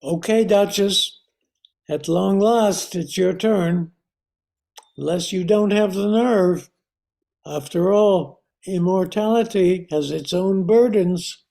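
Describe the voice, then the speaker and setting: A man acts out a calm, cunning doctor from a radio drama. His tone is mellow and composed. He explains his schemes at a very measured, unhurried pace. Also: sits high, vocal fry, full character voice. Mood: calm, goading. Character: calm, cunning doctor uncle, a scientist outwitting gangsters. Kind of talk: old-time radio thriller acting.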